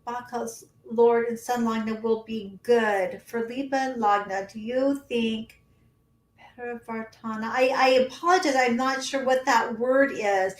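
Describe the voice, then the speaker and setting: A woman's voice: on the high side, steady tone, measured pace, echoey room, poor audio. A woman hosting her own astrology livestream, chatting casually with many ums and reading out viewer comments while she is losing her voice.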